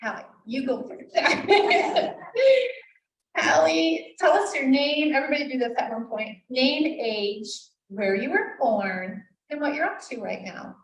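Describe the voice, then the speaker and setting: A woman hosting a live bookstore event, quizzing young guests with quick questions in an upbeat way. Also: sits high, a little hiss or hum. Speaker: host of an author event. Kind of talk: casual live event moderating. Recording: roomy, from a distance.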